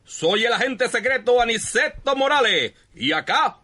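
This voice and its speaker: A man playing an eager, excitable comic secret agent in a parody radio soap opera. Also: very high voice, a little slow, background noise. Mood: excited.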